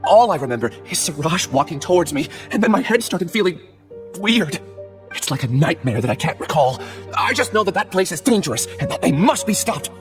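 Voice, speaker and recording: An actor playing a distressed, urgent male scholar on the run in a video game, halting and pleading. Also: high voice, big pitch swings, over music. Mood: frightened, urgent.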